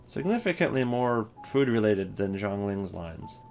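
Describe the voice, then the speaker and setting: Low-pitched man thinking aloud. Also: very animated, unhurried, a little mellow, soft background music. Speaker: video creator ranking game voice lines. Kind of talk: casual commentary.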